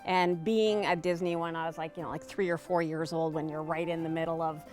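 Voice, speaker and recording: A woman's voice: low voice, fairly even intonation, a little fast, darkish tone, soft background music. A woman from Canada telling personal stories casually on a panel show. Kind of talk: casual chat, personal storytelling.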